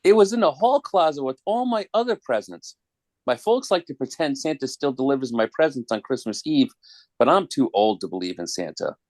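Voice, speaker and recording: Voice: medium pitch, big pitch swings, brisk, a little mellow, no echo, lightly acted. An adult performer playing a cheeky, slangy young boy in a dramatic comic-book table read. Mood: eager, awed. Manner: slangy, wide-eyed, childlike. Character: cheeky, excitable young boy, hypnotized into robbery.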